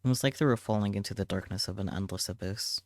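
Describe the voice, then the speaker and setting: A man's voice: lowish voice, quick pace, dry recording. A man reading a visual novel aloud as dramatic narration. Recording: clear background noise.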